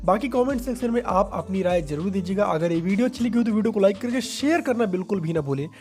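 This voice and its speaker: High-pitched man presenting over music. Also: expressive, quick pace, darkish tone. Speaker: YouTube entertainment news host. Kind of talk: explanatory commentary addressed to viewers. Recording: background music under voice.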